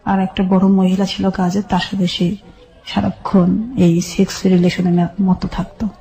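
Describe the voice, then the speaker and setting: Middle-aged Bangladeshi woman, mellow and level in pitch, giving sombre personal testimony as a radio guest. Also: little pitch movement, a little slow, rough recording. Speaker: guest telling her life story.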